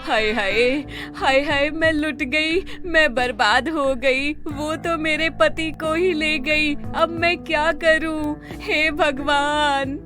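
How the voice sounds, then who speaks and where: A woman's voice: high-pitched, steady tone, takes it slowly, few pauses, music in the background, voice pushed up. A woman voicing a timid, melodramatic village wife in a cartoon, with exclamatory, wailing delivery. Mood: wailing despair.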